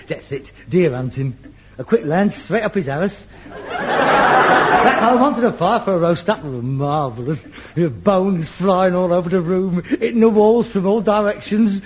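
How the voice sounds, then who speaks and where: An actor playing a frustrated middle-aged son, theatrical, swinging from wistful muttering to manic ranting in a sitcom. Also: male voice, high-pitched, husky, full character voice. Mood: scornful musing. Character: frustrated middle-aged son, a rag-and-bone man with grand dreams. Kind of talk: 1970s TV sitcom acting. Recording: dull old TV soundtrack.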